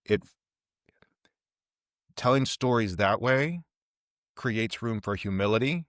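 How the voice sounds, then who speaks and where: Man, interview host, lowish pitch, dry recording, reflective, thoughtful, emphatic repetition. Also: lively intonation, takes it slowly, fairly bright tone, no room sound. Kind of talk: reflective casual interview talk.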